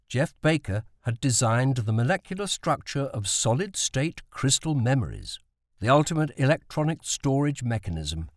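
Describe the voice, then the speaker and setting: A man's voice: mid-range voice, no room sound, studio quality. A man reading a novel as an audiobook narrator.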